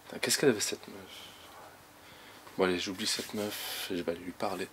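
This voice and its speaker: A man's voice: low voice, a little fast, background noise, close to an everyday voice. A young man playing an awkward guy on a date, rambling in casual slang and talking his thoughts aloud. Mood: flustered. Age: young adult. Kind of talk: amateur short film, inner thoughts aloud.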